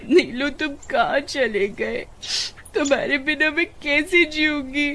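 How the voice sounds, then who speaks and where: A woman's voice: high voice, slow, bright, forceful tone, voice pushed up, full character voice. A woman voicing a farmer's tender, emotional young wife in a children's cartoon story. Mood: tearful, pleading. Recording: very noisy background.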